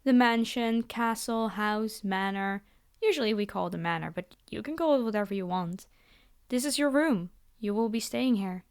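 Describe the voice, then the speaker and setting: A woman's voice: medium pitch, lively intonation, moderate pace, clear, resonant tone, no room sound, clean studio sound, close to an everyday voice. A woman playing a haughty, possessive vampire noblewoman in an ASMR fantasy roleplay, speaking in a theatrical, condescending and formal way. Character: haughty, possessive vampire noblewoman, polite yet menacing captor.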